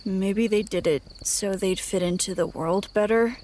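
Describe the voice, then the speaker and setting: A woman plays an earnest young traveler, the little one of a band of survivors in an audio drama. Her voice is mid-pitched, hesitant and soft-hearted. Faint insects and night air sit behind her. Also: unhurried, slight background noise, lightly acted. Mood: earnest, hopeful.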